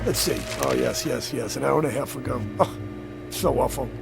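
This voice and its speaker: Gleeful, creaky old devil. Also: male voice, mid-range voice, normal speed. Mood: mock innocence. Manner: wheedling, mock-polite, theatrical chuckling. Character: sly, gleeful devil posing as a crazy old man. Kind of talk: radio drama acting. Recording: music under the voice.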